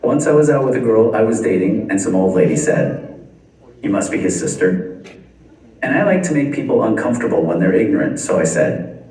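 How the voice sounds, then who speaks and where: A young Canadian man performing a stand-up comedy set, delivering dry one-liners deadpan at a live venue. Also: fairly low pitch, brisk. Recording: echoey venue from far off.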